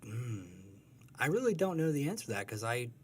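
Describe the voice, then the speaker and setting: A man's voice: medium pitch, big pitch swings, brisk, husky. A man chatting casually as an interview guest, thoughtful and hesitant as he answers. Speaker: comic strip artist, interview guest.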